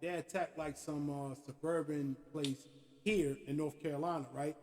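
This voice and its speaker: A man hosting a podcast, ranting with animated, profane energy and checking in with his co-host as he goes. His voice sits high for a man. A long, hall-like reverb trails each phrase. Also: normal speed. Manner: animated, profane, repeats "you know what I'm saying". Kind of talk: opinionated casual rant.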